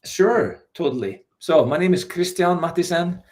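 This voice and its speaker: A man in his forties, born in Iceland, talking as a guest in a relaxed, hesitant way with many fillers over a video call. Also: fairly high pitch, normal speed. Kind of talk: casual interview.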